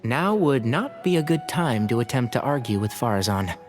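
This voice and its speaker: A man's voice: mid-range voice, sing-song, normal speed, soft background music, lightly acted. A man playing a level-headed young student. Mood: calm.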